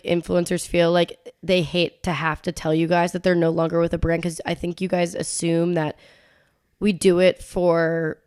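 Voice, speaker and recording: A woman's voice: fairly low pitch, smooth. A young woman in her twenties with a stuffy, congested nose, telling stories casually as a podcast co-host. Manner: chatty storytelling, frequent "like".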